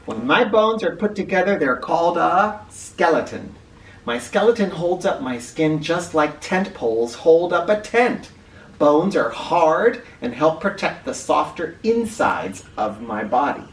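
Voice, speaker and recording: A man hosting an educational read-aloud for children, speaking directly to them with clear emphasis and questions. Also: fairly high pitch, big pitch swings, a little slow. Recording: roomy, distant mic with faint noise.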